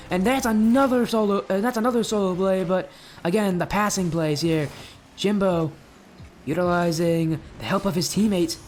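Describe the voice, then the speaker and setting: Young guy casting a match live, high voice, rambles and talks to chat, bit of noise behind. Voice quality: high-pitched. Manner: chatty, rambling, replies to chat mid-play. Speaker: esports caster and streamer. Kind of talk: live game commentary.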